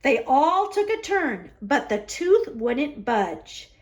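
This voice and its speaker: A woman reading a picture book aloud for a children's story hour, expressive, with drawn-out emphasis on key words. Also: sits high. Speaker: library story hour reader.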